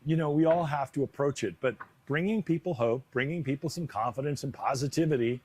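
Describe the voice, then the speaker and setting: A man's voice: medium pitch, fairly quick, darkish tone. A man with an American accent, an announcer by trade, answering interview questions conversationally. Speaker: stadium announcer being interviewed.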